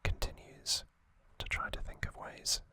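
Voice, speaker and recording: A man whispers as the host of an ASMR recording. His voice is fully unvoiced and breathy, with a bright, sibilant edge. He takes his time, speaking very slowly and gently with many pauses. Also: dry recording. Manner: slow, gentle, pausing delivery.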